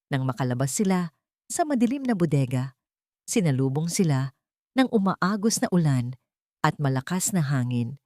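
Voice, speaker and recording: A woman's voice: on the low side, darkish tone, no room sound, studio quality. A woman narrating a dramatic romance story in an emotive storytelling manner.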